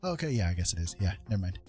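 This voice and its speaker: A man's voice: fairly low pitch, very animated, fast talker, a little mellow, faint music behind. A man hosting a casual live art stream, chatting to viewers in a rambling, hesitant way and often repeating himself. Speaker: live stream host and artist.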